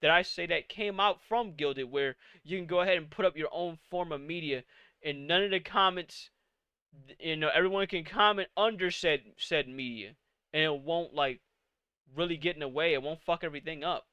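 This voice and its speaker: A young man in his late twenties with a high, bright, crisp voice, ranting on a live stream. He swears freely and fires off exasperated rhetorical questions. The sound is close and dry, with no room in it. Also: moderate pace, dry recording, lo-fi sound. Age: 20s. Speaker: podcast host. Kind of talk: live-streamed casual rant.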